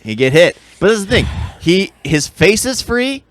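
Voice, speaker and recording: A man's voice: high-pitched, sing-song, moderate pace, fairly bright tone, no echo. A man co-hosting a podcast, chatting casually about movies and acting out reactions as he gives his opinions. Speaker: podcast co-host.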